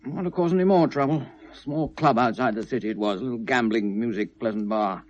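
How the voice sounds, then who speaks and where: An actor playing a tormented, obsessive lovelorn British man confessing to police, halting and bitter, shifting from hushed to anguished in a 1970s radio drama. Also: medium pitch, highs cut off, close to an everyday voice. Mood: edgy, agitated. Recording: noisy vintage radio play recording.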